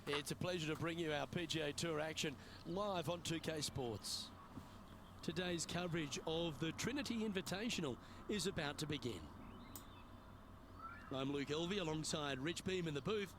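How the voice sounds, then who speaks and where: A man's voice: fairly high pitch, expressive, normal speed, background noise, natural voice. A man playing a polished golf play-by-play announcer. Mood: announcing. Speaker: golf broadcast commentator. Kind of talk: scripted TV sports commentary.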